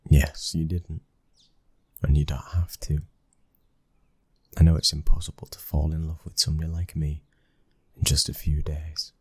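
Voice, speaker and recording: Very deep, husky British mafia lover played by a man. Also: no echo, exaggerated voice. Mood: tender, vulnerable. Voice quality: husky, breathy, often near whisper. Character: brooding young mafia boss's son, protective, obsessive lover. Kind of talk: intimate ASMR boyfriend roleplay.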